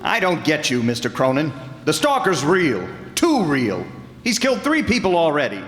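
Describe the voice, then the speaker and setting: A man's voice: fairly high pitch, huge pitch swings, normal speed. A man playing a persistent, earnest, plain-spoken detective in an old-time radio drama. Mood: insistent. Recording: echoey hall, faint music behind.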